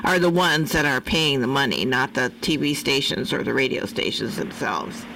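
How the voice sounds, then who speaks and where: A woman's voice: rumbling low, expressive, normal speed, creaky, sharp tone, distant mic, low-quality recording. An American woman chatting casually on the radio as a co-host. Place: radio studio. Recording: faint background noise.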